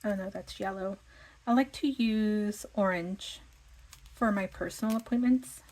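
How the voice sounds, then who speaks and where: Woman, slightly raspy voice, unhurried chatty vlog talk, lots of ums. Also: mid-range voice, a little mellow, low-quality recording. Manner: slow, meandering, thinking aloud with fillers. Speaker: vlogger. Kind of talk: casual planning chat.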